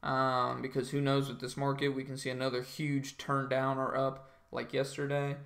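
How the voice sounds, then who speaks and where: A man's voice: mid-range voice, monotone, normal speed, gravelly, fairly bright tone. Groggy-voiced guy rambling on a livestream. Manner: rambling, filler-heavy, says "like" and "um" constantly. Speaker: stock trading livestreamer. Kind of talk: casual livestream chat with viewers.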